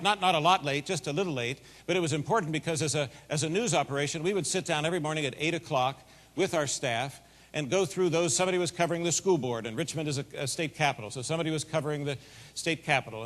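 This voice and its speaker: A man's voice: fairly high pitch, fast. Big-voiced man telling stories in a hall. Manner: folksy, animated storytelling with a big voice. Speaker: keynote speaker. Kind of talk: storytelling keynote speech. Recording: long hall reverb.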